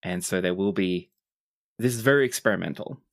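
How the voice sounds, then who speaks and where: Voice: deep voice, brisk. Methodical game master explaining tabletop rules.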